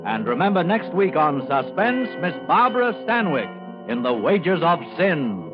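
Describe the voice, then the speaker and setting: A man's voice: fairly high pitch, very animated, a little slow. A man announcing a program in dramatic 1950s radio style. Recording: dull old radio broadcast, music behind.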